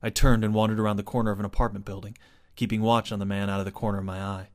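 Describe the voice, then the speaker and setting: A man's voice: lowish voice, little pitch movement, rapid-fire. A man reading his own first-person short story as dramatic scripted narration.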